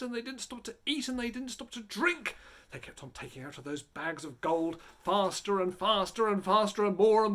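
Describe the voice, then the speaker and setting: Male storyteller, highly animated pitch, theatrical delivery, dramatic builds and hushes. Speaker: storyteller reading a story aloud.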